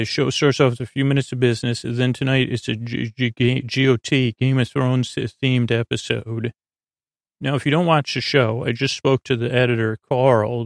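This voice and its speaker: A man with a gravelly, fry-heavy voice hosts a sleep podcast in a close, dry recording. His pitch stays quite flat as he meanders through his monologue. He halts, stammers and repeats fragments of words as he goes. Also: dry recording. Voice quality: creaky, gravelly. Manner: meandering, halting, stammering, repeats and trails off mid-word. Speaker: podcast host.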